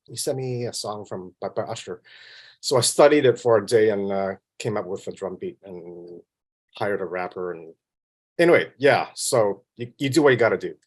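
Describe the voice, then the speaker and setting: A man from Vancouver with a Canadian accent, chatting casually as an interview guest in a modest, self-deprecating, halting way. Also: lowish voice. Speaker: interview guest, film composer.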